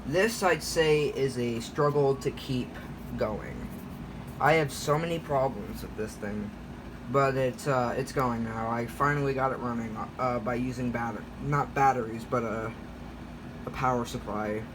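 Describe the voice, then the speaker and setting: Male hobbyist vlogger, mid-pitched, halting off-the-cuff show-and-tell, clear background noise. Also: fairly even intonation. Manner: rambling, halting, often self-correcting. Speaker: hobbyist vlogger showing a radio collection. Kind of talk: casual unscripted show-and-tell.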